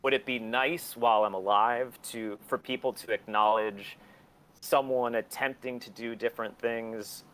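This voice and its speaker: A man's voice: medium pitch, steady tone, measured pace, a bit bright. A man in his forties talking candidly and casually as an interview guest, blunt and opinionated, swearing often. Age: 40s. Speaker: interview guest, record label and museum owner.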